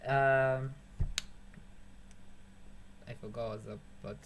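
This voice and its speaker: A man's voice: mid-range voice, steady tone, takes it slowly. A young man with a Kenyan accent, a music producer giving a casual, rambling beat-making tutorial, joking and swearing, dropping Swahili phrases. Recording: faint background noise.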